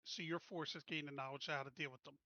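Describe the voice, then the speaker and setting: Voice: medium pitch, steady tone, brisk, pushed and bright, no echo, lo-fi sound. A tabletop roleplaying player speaking casually, joking around and stumbling over words.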